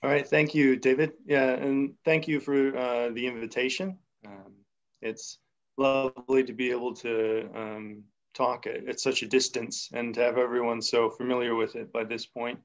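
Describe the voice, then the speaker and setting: Young male lecturer on a video call, mid-pitched, unhurried and thinking aloud, slightly muffled audio. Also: flat delivery. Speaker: seminar speaker. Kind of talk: academic math talk over video call.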